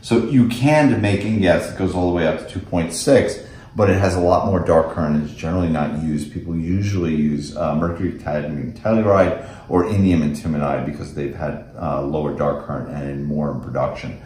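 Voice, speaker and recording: Male technical presenter, American, middle-aged, very low voice, recorded with plenty of room echo. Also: brisk, a little mellow. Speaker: company representative presenting technical products. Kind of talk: technical product demo and explanation. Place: indoors in a small office meeting room. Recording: echoey room.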